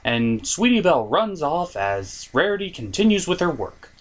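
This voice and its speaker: A man's voice: a little high, very animated, moderate pace. A man hosting a scripted comedic episode review with a sarcastic delivery. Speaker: reviewer, host.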